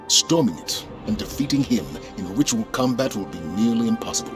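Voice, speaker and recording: A man playing a principled, burdened military commander in a video game, speaking in a grave, measured, formal way. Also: lowish voice, close to an everyday voice. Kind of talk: video game cutscene acting. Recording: orchestral music behind the voice.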